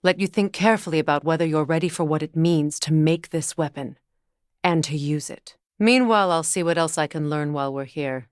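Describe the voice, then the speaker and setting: Low, guarded warrior goddess, acted by a woman. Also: brisk, no room sound, studio quality, natural voice. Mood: calm, practical. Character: guarded, battle-hardened warrior goddess and sorceress, wary ally. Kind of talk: dramatic video game character acting.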